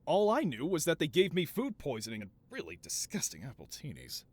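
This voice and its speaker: A man's voice: a little high, huge pitch swings, a little fast. A man playing a flamboyant, doting mad scientist supervillain boss in a scripted audio roleplay, with a theatrical, wheedling, self-justifying manner. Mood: wounded, defensive.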